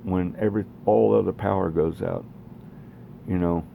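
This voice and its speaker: A man with a very low, creaky voice gives a casual equipment demo. He talks in a plainspoken, rambling way. There is faint noise behind him. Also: steady tone, moderate pace, phone-quality sound. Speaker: radio hobbyist presenting own equipment. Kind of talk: casual equipment demonstration vlog. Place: home garage.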